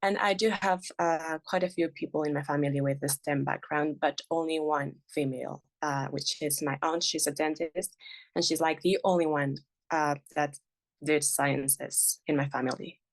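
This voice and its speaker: A woman from Mexico City, a biology undergraduate, speaking in a measured way on a panel. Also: deep voice, steady tone, no room sound. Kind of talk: panel discussion. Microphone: video call.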